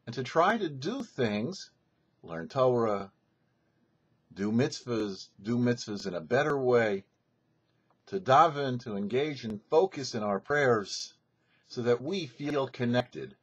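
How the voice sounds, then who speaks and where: A man speaking as a rabbi and show host, giving a scripted religious lesson in an emphatic, didactic way with deliberate phrasing and rhetorical questions. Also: mid-range voice, lively intonation, slightly rough. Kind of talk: scripted religious teaching, sermon.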